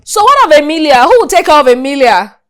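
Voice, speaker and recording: An actress playing a blunt, teasing friend who argues back. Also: female voice, high-pitched, sing-song, quick pace.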